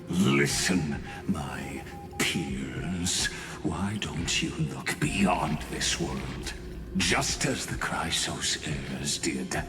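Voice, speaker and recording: Very slow, deep pompous scholar villain. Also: male voice, heavily acted. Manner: pompous, deliberate, academic. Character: arrogant, cerebral male scholar villain, fearless of death. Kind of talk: theatrical video game villain dialogue. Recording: echoey, with music behind. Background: music.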